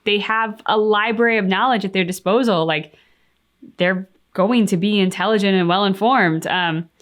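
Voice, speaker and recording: A woman's voice: medium pitch, normal speed, fairly bright tone. A woman co-hosting a reaction channel, chatting casually as she gives her commentary.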